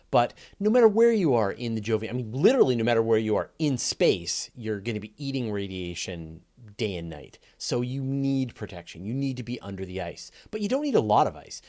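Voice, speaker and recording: Guy hosting a live q&a, lowish voice, chatty explainer, leans on key phrases. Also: darkish tone. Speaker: host of a live astronomy Q&A show. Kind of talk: casual live question-and-answer explaining.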